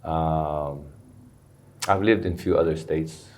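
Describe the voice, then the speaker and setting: Deep-voiced man telling his story. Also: steady tone, slow pace. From Somalia. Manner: earnest, candid, sprinkles Arabic phrases. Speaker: guest, recovery center founder. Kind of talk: personal storytelling interview. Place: studio.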